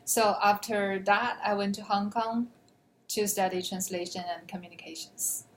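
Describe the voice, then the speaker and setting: A young woman from China, a native Chinese speaker, answering interview questions in an earnest, measured way. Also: mid-range voice, fairly even intonation, a little hiss or hum. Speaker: graduate student.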